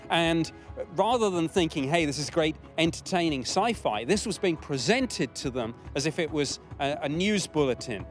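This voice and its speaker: A man giving explanatory commentary in a documentary interview. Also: on the high side.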